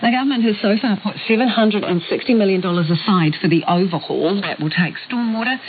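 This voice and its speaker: A woman with a New Zealand accent presenting scripted radio news as the programme host. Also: lowish voice. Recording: narrowband shortwave radio, faint hiss.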